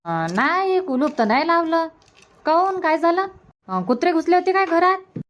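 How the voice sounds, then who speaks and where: Cartoon mother, female performer, middle-aged, disbelieving grumbler, high voice, Varhadi Marathi accent. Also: very animated, normal speed, muffled recording. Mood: puzzled. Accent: Varhadi Marathi from Vidarbha. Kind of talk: cartoon comedy dubbing.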